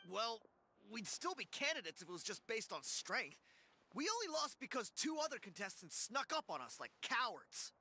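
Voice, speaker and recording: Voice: medium pitch, very animated, moderate pace, pushed and bright, breathy edge, full character voice. An actor voicing an aggrieved, cocky young warrior in an anime-style video game. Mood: indignant.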